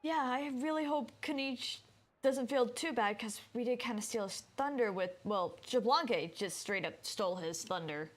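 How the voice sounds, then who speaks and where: A woman's voice: fairly high pitch, expressive, normal speed. A woman game streamer, switching between casual commentary and reading the game's text aloud.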